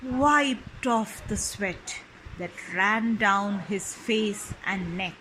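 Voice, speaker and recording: A woman storyteller reading a children's book expressively and deliberately, phrase by phrase. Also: medium pitch, background noise. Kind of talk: expressive scripted storybook narration.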